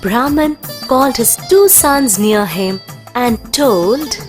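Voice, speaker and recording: A woman narrates a children's tale in a high, expressive voice. She takes it slowly, with clear music playing behind her. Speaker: story narrator. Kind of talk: scripted children's storytelling narration.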